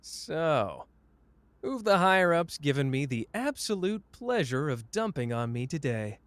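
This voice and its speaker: A man acts out a flamboyant young male sorcerer in a roleplay audio drama. His pitch swings in a lively way as he teases with smug, playful confidence. The sound is dry and close, as if spoken straight to the listener. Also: unhurried, clear, resonant tone, dry recording. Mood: playful, cocky. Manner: playful, smug, drawling teasing.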